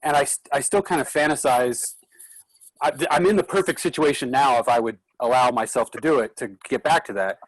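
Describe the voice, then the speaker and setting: A man's voice: medium pitch, fairly quick, rough recording. A man moderating a casual discussion in an encouraging, conversational way. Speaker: actor moderating a Q&A.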